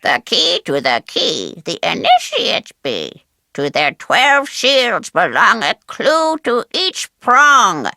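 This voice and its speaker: A woman's voice: medium pitch, wildly swooping pitch, slow pace, sharp tone, no echo, studio quality, full character voice. A woman voicing a bossy, cryptic pet parrot in a video game, squawking and speaking in repeated sing-song rhymes. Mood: instructive, playful. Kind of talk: exaggerated video game character acting.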